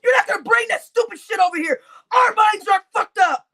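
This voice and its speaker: A woman livestreamer, crying as she rants at her chat, shouting with heavy swearing and repeating her outbursts. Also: very high voice, a little fast. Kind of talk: angry livestream rant to chat.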